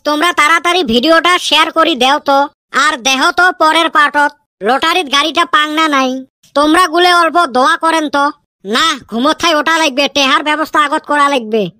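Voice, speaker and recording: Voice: high-pitched, normal speed, pushed and bright, dry recording, studio quality. An actor playing a boastful cartoon village man who dreams of riches, speaking in a drawling northern Bengal rural dialect. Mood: excited, scheming. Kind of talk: comic cartoon dialogue.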